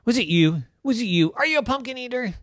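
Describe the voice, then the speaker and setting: A storyteller voicing an excitable, accusing little girl in a children's story. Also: sits high, slow, dry recording, clean studio sound, voice pushed up.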